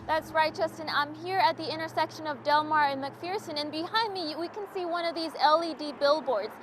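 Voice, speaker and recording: A woman TV news reporter delivering a field report with crisp broadcast delivery. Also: high voice, brisk, noisy background.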